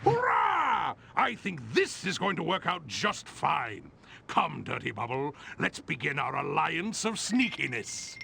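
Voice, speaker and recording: A man's voice: a little high, sing-song, a little slow, gravelly, sharp tone, breathy edge, heavily acted. A man voicing a pompous, melodramatic undersea supervillain in a cartoon game, theatrical and grandiose. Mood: triumphant. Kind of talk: video game cartoon voice acting.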